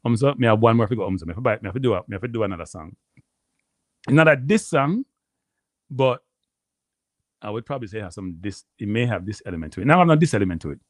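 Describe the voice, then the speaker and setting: A Jamaican man hosting a live stream, rambling casually to his viewers and repeating himself, with a deep voice. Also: no echo, studio quality. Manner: rambling, repeats phrases, addresses viewers as people. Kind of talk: casual livestream chat.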